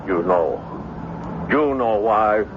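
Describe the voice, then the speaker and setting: Grim scared ship captain, haunted and halting, old radio drama, muffled and noisy. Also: male voice, on the low side, animated. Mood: gruff suspicion. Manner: halting, ominous delivery. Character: fearful, enslaved ship's captain, grim and resigned.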